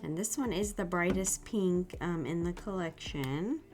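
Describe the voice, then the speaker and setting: Slightly sick lady reviewing nail stuff, soft and gushy, sore throat, easy pace. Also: fairly low pitch, faint music behind. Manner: soft, gushing, unhurried. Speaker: nail art channel host. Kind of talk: casual product review chat.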